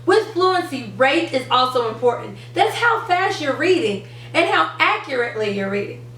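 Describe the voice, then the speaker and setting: A woman's voice: high voice, sing-song, moderate pace, a bit bright. A young woman presenting a how-to lesson as a reading teacher, explaining step by step and stressing key words. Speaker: reading teacher and channel host. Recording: roomy, distant mic, faint noise.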